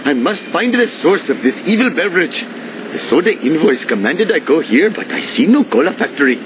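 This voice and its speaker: A man's voice: a little high, big pitch swings, fairly quick, noisy background. A man voicing an earnest, anxious cartoon shopkeeper with an Indian accent and formal, wordy speech. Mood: anxious, dutiful.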